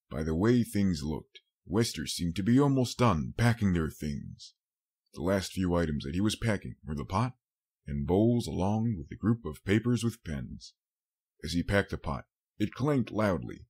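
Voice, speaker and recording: Low, husky male story narrator. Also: darkish tone. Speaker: audiobook narrator.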